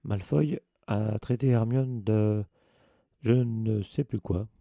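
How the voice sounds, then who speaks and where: Male reader doing a direct schoolboy wizard, dark, creaky tone, dry. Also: lowish voice, takes it slowly, no room sound.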